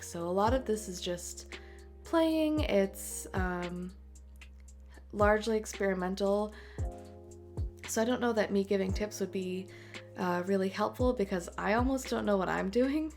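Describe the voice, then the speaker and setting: Raspy, congested woman rambling softly. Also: medium pitch. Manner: soft, rambling, many ums and uhs. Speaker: artist and tattooer. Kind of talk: voice-over chat during a speedpaint. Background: faint music.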